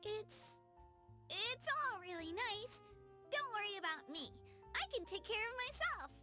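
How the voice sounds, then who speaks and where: A woman's voice: very high voice, very animated, unhurried, music in the background, full character voice. A woman voicing a shy, anxious young girl in a video game, hesitant, earnest and polite, eager to please. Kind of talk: game character voicelines.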